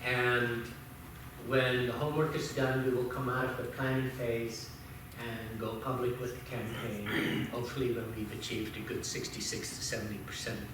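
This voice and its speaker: A man's voice: medium pitch, flat delivery, background noise. Distant man speaking in an echoey council chamber. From Indonesia. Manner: measured, formal presentation. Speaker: visiting development consultant. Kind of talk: public comment address.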